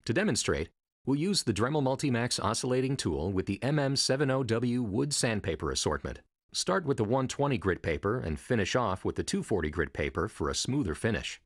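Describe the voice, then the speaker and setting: A man narrating a scripted step-by-step tool tutorial in a steady, instructive manner. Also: medium pitch, brisk, breathy edge, dry recording, studio quality.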